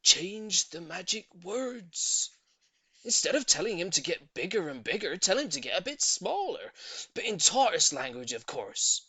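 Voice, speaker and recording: A woman playing an eager, earnest older gentleman neighbour in a storybook, kindly and lovestruck. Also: on the low side, very animated, measured pace, husky, no echo, voice pushed up, exaggerated voice, poor audio. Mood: confident.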